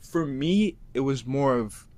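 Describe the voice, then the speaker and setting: A man's voice: mid-range voice, expressive, slow, a little mellow. A man co-hosting a podcast, talking in an enthusiastic, rambling way with lots of fillers. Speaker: podcast co-host. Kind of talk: casual chat.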